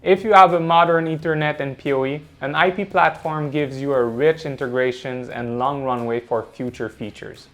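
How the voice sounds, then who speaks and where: A man delivering scripted corporate explainer narration in a measured, instructional way. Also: a little high. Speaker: presenter of a product explainer. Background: background music.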